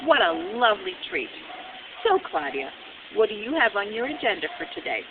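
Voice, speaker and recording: A woman's voice: sing-song, normal speed. A woman voicing a cheerful, oblivious royal mother in a children's storybook, sweetly fussing over her daughters. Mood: cheerful, pleased. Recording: phone-like narrow band, loud hiss and rumble.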